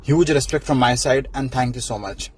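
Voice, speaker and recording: A man with an Indian accent giving a deliberate, admonishing opinion monologue. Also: medium pitch, slightly rough.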